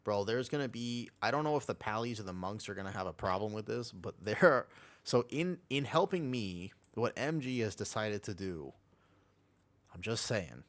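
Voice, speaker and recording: A man's voice: lowish voice, expressive, normal speed. A man chatting casually and slangily as he livestreams an online game, talking to his viewers and teammates in a laid-back way. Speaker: live streamer playing an online game. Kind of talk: casual livestream chat while gaming.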